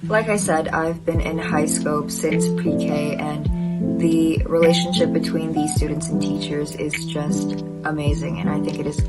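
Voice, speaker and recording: A young woman gives a measured, slightly hesitant testimonial about her former school. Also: sits low, fairly even intonation, low-quality recording. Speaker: former student. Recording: loud guitar music underneath.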